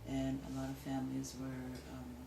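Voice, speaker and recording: A woman in her forties from Tonga, an interviewee, recalling her past slowly and haltingly. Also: rumbling low, completely flat delivery, gravelly, reverberant, noisy background. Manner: slow, halting recollection.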